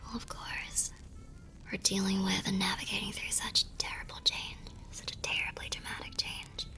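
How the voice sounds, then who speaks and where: A woman playing a tender, teasing young war nurse and sweetheart in an intimate ASMR romance roleplay, murmuring softly and breathily. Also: medium pitch, little pitch movement, moderate pace. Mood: hopeful, tender. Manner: soft, breathy, affectionate murmuring.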